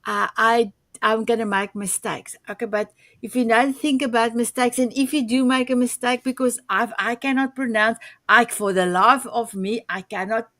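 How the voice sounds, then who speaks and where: A woman's voice: a little high, normal speed, no room sound. A woman whose first language is Afrikaans, hosting a talk show and chatting in a hesitant, repeating way. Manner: hesitant, repeats words, animated. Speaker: host. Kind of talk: casual talk show chat.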